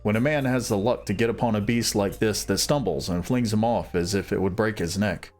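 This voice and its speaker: A simple, cheerful young servant from an old fairy tale, voiced by a man reading aloud. The voice sits low and easygoing, like a storybook read at bedtime, with faint music underneath. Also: brisk, darkish tone, lightly acted.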